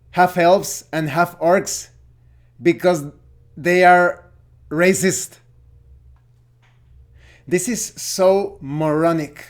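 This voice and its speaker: A man presenting an opinionated explainer as a video host on tabletop role-playing games, talking in deliberate phrases broken by pauses. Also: high-pitched. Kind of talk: opinionated explainer talk to camera.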